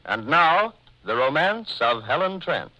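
Animated, dramatic male radio announcer. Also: fairly high pitch, unhurried, music in the background. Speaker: serial announcer. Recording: muffled old radio recording.

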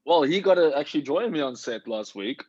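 A man's voice: on the high side, animated, brisk, a little mellow, husky, no echo. Man in dull, dry casual chat. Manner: emphatic, slangy, peppered with swearing. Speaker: working actor, podcast participant giving advice.

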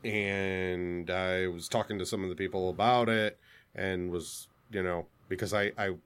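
Man, podcast co-host, keen casual talk, lowish voice, stumbles on restarts. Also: fairly even intonation, unhurried, fairly bright tone, clear, resonant tone. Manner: enthusiastic, repeats words when starting. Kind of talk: casual gaming chat.

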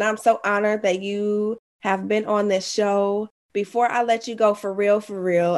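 Woman, podcast host, effusive and affirming, quick to laugh, casual interview. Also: mid-range voice. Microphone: podcast mic.

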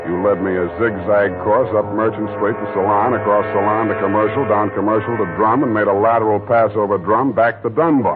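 A man's voice: on the low side, close to an everyday voice. A man playing a wisecracking hard-boiled detective. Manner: dry, sardonic. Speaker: narrator and lead. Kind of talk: 1940s radio acting. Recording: tinny old radio broadcast.

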